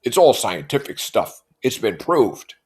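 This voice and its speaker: A man's voice: medium pitch, big pitch swings, measured pace, a little mellow, pitched above the performer's own voice. A man voicing a pompous, irritable, bullying rich husband from a novel in an emphatic, blustering manner.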